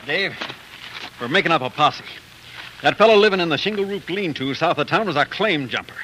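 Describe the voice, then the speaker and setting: An actor playing a grim, urgent frontier neighbor in 1940s radio drama style, with clipped delivery. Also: male voice, on the high side, expressive, brisk, pushed and bright, slight background noise, poor audio.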